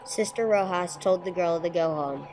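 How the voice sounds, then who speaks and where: A woman's voice: fairly low pitch, moderate pace, close to an everyday voice. A woman playing a terse, sober police detective who narrates a case in an old-time radio drama style, on a noisy recording. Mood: sober, reflective. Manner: matter-of-fact, clipped. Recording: clearly noisy amateur recording.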